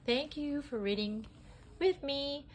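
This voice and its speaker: A sniffly woman reading a children's storybook aloud in an expressive, homemade style. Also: sits high, slow.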